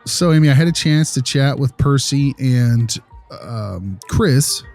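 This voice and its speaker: A man's voice: medium pitch, expressive, a little slow, a little mellow, faint music behind. A man playing a friendly, attentive consultant who asks questions in a polite, affirming way in naturalistic dialogue. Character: friendly, attentive male consultant interviewing school staff. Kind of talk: naturalistic acted interview dialogue.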